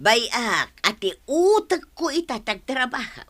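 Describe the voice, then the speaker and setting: An older Ilocano village woman, idle and forever scheming for money. Her voice is bright and very lively in its melody. She sounds conniving, as if plotting to herself, in a radio drama style. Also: on the high side, slow, heavily acted. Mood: grumbling, scheming.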